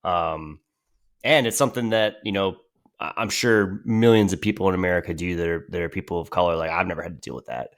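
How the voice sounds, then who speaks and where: A man's voice: low voice, a little fast. A man hosting a podcast, responding to his guest in a reflective, thoughtful way. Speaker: podcast host. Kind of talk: casual podcast chat.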